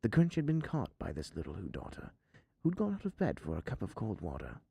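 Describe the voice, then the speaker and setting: A man reads a rhyming storybook in a dramatic, theatrical way. His voice is mellow and husky with a gravelly edge, and he can drop toward a hush. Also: on the low side, huge pitch swings, fairly quick, no echo. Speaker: story reader.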